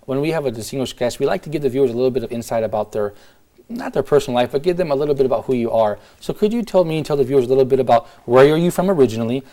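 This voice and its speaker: A man hosts a live call-in TV show, talking briskly and jumping in often with quick affirmations. His questions come rapid-fire and eager. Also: medium pitch, lively intonation, mellow. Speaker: TV show host. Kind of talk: live TV interview.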